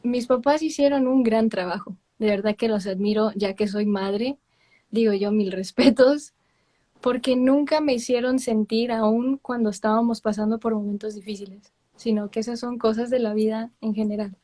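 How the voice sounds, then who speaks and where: A young woman with a Mexican accent tells stories as a guest in a relaxed interview. Her voice sits a little high and stays lively, with frequent laughter and English words mixed in. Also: normal speed. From Miami, with long stays in Mexico. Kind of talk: casual interview chat.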